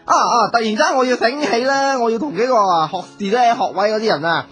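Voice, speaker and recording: A woman's voice: fairly high pitch, fast talker, faint music behind, natural voice. A woman with a Hong Kong accent playing a quirky, nosy wizard king in a comic radio drama, exclaiming in an exaggerated, flustered way.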